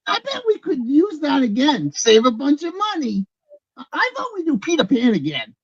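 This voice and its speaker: A woman playing a stubborn, teasing rustic theater handyperson with a gravelly, husky voice, in a staged reading on a dull recording. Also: high-pitched, big pitch swings, normal speed, dry recording. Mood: stubborn nostalgia. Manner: folksy, wisecracking. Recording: dull lo-fi sound.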